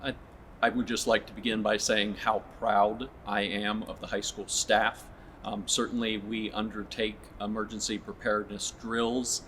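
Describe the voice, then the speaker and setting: A man speaking as a school administrator, making a formal public statement in a measured, businesslike way. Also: fairly low pitch, little pitch movement, fairly bright tone, a little hiss or hum, low-quality recording.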